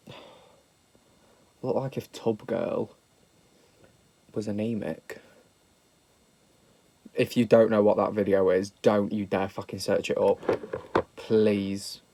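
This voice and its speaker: A man's voice: lowish voice, expressive, takes it slowly, vocal fry, long pauses, slight background noise. Young British man, nasal from a cold, camp and sweary, self-deprecating vlog chat. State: slightly nasal from a sniffle. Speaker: makeup vlogger. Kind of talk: casual makeup tutorial chat.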